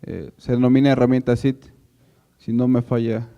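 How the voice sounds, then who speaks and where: Male workshop organiser, relaxed mid-range voice, giving practical instructions. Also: medium pitch, normal speed, lo-fi sound. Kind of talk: unscripted instructions to attendees.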